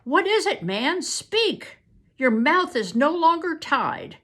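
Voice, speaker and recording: A woman's voice: mid-range voice, very animated, normal speed, vocal fry, breathy edge, exaggerated voice. A woman reading aloud, voicing a stern older uncle who speaks sharply and commands.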